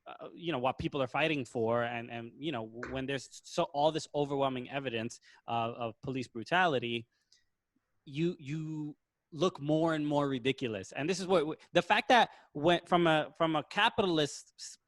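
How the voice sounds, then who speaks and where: A man talks through his points in a relaxed podcast conversation, often stalling and restarting mid-sentence. His voice sits slightly on the high side for a man. The sound is dry, with no room echo. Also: normal speed. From Dominican family. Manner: hesitant, many restarts and stammers. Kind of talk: casual podcast chat.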